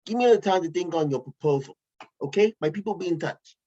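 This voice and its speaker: A man's voice: on the high side, animated, fast talker, dark tone. A man reading a breezy, casual billionaire in an online table read, with a loose, casual delivery. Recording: dull lo-fi home mic.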